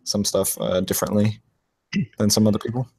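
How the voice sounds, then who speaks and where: A man's voice: on the low side, little pitch movement, brisk, vocal fry, mellow, husky. A young man from Texas with a slight Texas accent, hosting a casual live stream chat, easygoing and laughing often. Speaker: motovlog live stream host.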